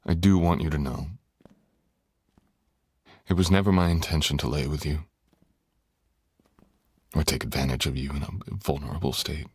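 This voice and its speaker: Guy doing seductive dragon lord asmr, super low husky voice, slow n brooding, formal wording. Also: steady tone, dry recording. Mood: earnest, hesitant. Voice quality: very deep, gravelly, husky, often breathy.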